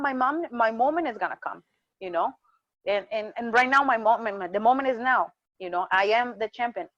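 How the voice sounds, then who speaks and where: A woman's voice: a little high, no echo. Passionate Mexican-accented woman, muffled sound. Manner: passionate, repeats words while finding them. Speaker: wrestling champion guest. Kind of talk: casual interview chat. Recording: dull muffled dry sound.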